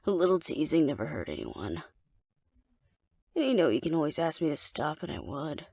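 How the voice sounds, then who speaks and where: Woman playing a flirty, gravelly alpha werewolf woman. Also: low-pitched, sing-song, moderate pace, a little mellow, no echo, clean studio sound, full character voice. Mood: flirty, teasing. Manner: teasing, coaxing, playfully domineering. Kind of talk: intimate scripted audio roleplay addressed to the listener.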